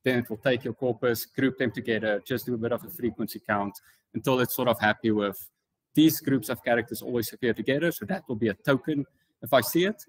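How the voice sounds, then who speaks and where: A man's voice: mid-range voice, a little fast, no echo, low-quality recording. A man with a South African accent presenting an informal technical tutorial as a conference speaker, explaining things in a casual way. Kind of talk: informal technical talk with slides.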